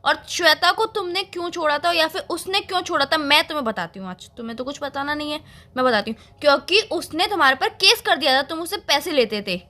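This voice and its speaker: Girl acting upset young woman telling a guy off in a call drama, high sharp voice, keeps pushing questions. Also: lively intonation, fast. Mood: accusing, hurt. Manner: scolding, pressing with pointed questions. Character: hurt, accusing young woman confronting a male friend.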